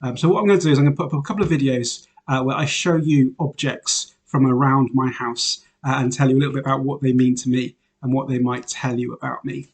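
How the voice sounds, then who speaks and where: A man, a parish priest from Wales who grew up in England, introduces himself in an easygoing, conversational way. His talk is loose and unhurried, dotted with "uh" fillers, with a middling pitch. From Wales, raised in Northamptonshire, England. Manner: relaxed and chatty, many "uh" fillers, light laughs. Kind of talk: informal self-introduction to parishioners.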